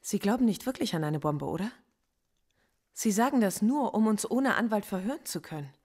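A woman's voice: medium pitch, expressive, normal speed, darkish tone, breathy edge. An actress playing a defiant, articulate female philosophy professor under interrogation in a radio play. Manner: defiant and articulate, precise and cutting.

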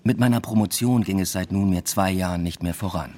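A man's voice: deep voice, a little fast, darkish tone. An actor playing a weary, ironic male lecturer who narrates his own story in a radio play with dry, reflective understatement. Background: music.

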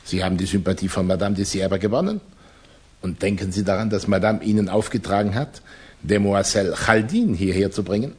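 An actor playing a paternal, self-important revolutionary leader in a 1960s German radio play, unctuous and commanding. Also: male voice, lowish voice, a little fast, a little mellow, husky. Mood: insistent.

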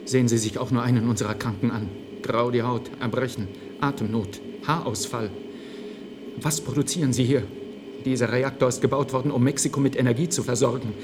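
A man's voice: medium pitch, fairly even intonation, a little fast, natural voice. Principled company physician for radio drama, male actor, medium register, formal and insistent, noisy ambient backdrop. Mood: indignant. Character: earnest, indignant company doctor confronting his superior. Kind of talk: German radio play acting.

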